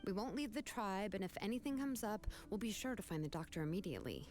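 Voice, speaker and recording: A woman's voice: mid-range voice, animated, fast talker, lightly acted. A woman playing an easygoing, kind and thoughtful young woman in an anime game, reassuring and considerate. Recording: faint music behind the voice.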